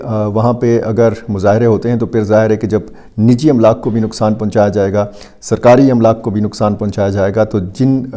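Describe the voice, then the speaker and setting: A man with a Pakistani accent giving unscripted news analysis to viewers, speaking deliberately and haltingly with frequent drawn-out hesitation sounds. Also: lowish voice, mellow. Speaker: news commentator.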